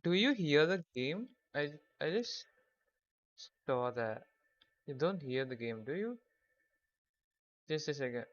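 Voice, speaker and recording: A man's voice: a little high, sing-song, slow, long pauses, no echo. A man hosting a casual gaming livestream, chatting with viewers in a half-distracted way. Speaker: game streamer, host. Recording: dull, lo-fi microphone sound.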